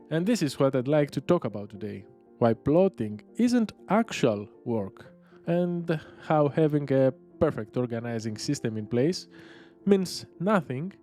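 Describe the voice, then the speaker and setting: A man's voice: mid-range voice, dark tone. Greek-accented man hosting a solo podcast. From Greece. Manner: reflective, with frequent filler pauses. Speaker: podcast host.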